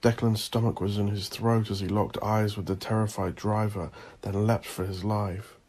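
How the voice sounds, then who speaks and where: Gravelly, low-voiced man narrating horror. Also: little pitch movement, darkish tone. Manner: ominous, theatrical, deliberate. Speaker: audio drama narrator and host. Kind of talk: dramatic horror fiction narration.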